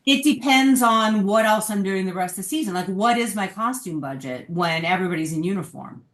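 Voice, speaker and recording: A woman's voice: mid-range voice, animated, brisk, a bit bright. A woman speaking informally and emphatically as an opinionated panelist in a casual discussion. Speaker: podcast panelist. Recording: roomy, echoey sound.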